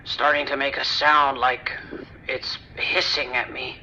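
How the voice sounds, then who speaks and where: A man's voice: mid-range voice, flat delivery, a little slow, bright, forceful tone, a little hiss or hum. An actor playing a trapped, sardonic working man making a bitterly resigned emergency call in an audio drama, through a phone filter. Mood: tense, bitter. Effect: phone or radio filter. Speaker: emergency caller. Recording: dull, band-limited emergency phone line.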